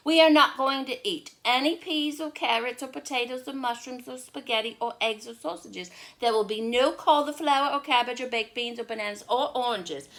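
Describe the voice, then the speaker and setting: A woman's voice: sits high, fairly bright tone, pitched above the performer's own voice. A woman voicing a patient, crafty big-brother boy from a storybook, in a coaxing, playful way.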